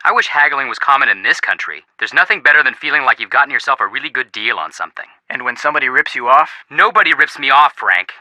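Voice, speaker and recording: A man voicing an eager, wisecracking young American amateur sleuth in a video game, expressive and earnest. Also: mid-range voice, fast, no room sound, low-quality recording. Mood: playful. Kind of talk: video game phone dialogue acting.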